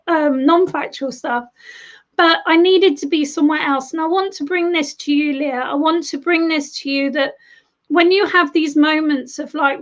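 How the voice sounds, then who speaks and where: A woman giving live tarot readings to viewers, chatty and affectionate, calling them darling and love, her voice a little worn as she is losing it. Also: high-pitched. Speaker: tarot reader. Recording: dull lo-fi stream audio.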